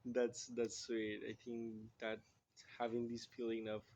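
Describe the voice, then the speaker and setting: A man's voice: mid-range voice, completely flat delivery, measured pace, a little mellow. Hesitant man. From Colombia. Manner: hesitant, searching for words. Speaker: interviewer. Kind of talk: casual interview.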